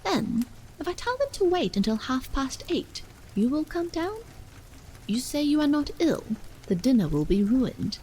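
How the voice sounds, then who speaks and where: An anxious, insistent wife pleading at a bedroom door, voiced by a woman reading a story aloud. Her voice rises high and swoops widely with vexation. Soft rain is heard behind. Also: sing-song, measured pace, slight background noise, low-quality recording. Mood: vexed. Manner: vexed, insistent, exclamatory.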